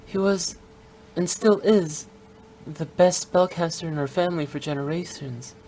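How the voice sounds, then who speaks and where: A woman's voice: lowish voice, darkish tone, slight background noise, lightly acted, poor audio. A woman playing a young female funeral director in a video game, playful yet blunt and unsentimental, speaking in a frank, matter-of-fact way. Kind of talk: scripted English video game voice acting.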